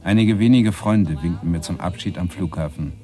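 A man's voice: low voice, normal speed, dark tone, lightly acted. An actor playing a wistful, bookish man who recounts his past in a reflective, literary way in a radio play. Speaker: actor playing the first-person narrator.

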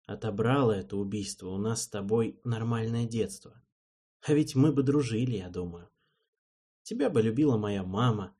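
A man voicing a calm, patient young male king who speaks quietly and slowly. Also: lowish voice, husky. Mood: gentle. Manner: quiet, soothing, measured.

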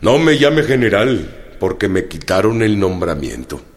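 Radio drama: proud, wary imprisoned Mexican revolutionary general. Low-pitched, husky, Mexican accent. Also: male voice, very animated, measured pace. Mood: insistent.

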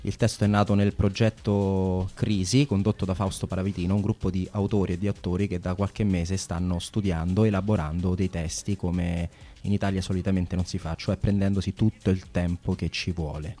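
Male presenter, deep and warm, calm and explanatory, faint music behind. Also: normal speed, few pauses. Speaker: theatre presenter. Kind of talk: live spoken introduction.

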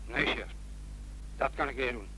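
A man's voice: medium pitch, normal speed, bright, forceful tone, slight background noise, natural voice, rough recording. An actor playing a reluctant policeman who poses as a desperate caller in a Dutch radio play. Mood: reluctant, uneasy. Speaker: police officer.

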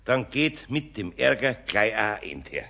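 A man's voice: on the low side, big pitch swings, a little slow, sharp tone, heavily acted. An actor playing a kindly, patient older master craftsman with a Bavarian accent and a homely, soothing manner in a radio play. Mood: patient, amused. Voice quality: gravelly, husky. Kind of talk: 1970s radio play acting.

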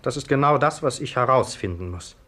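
An actor in a 1950s radio play playing a brisk, stern police sergeant with an official, insistent manner. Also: male voice, mid-range voice, lively intonation, sharp tone. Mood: factual, grim.

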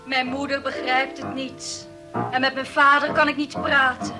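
Spoilt, dissatisfied teen girl, very high-pitched, vintage radio drama with music underneath. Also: little pitch movement, unhurried. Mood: wistful. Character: spoilt, discontented teenage girl. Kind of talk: 1960s Dutch radio play acting. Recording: 1960s radio recording with background music.

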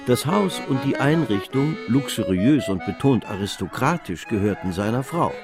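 An actor narrating a literary radio drama as a wry, worldly storyteller, measured and ironic. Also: male voice, medium pitch, animated, over loud music, natural voice. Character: wry, worldly male storyteller of Parisian high society.

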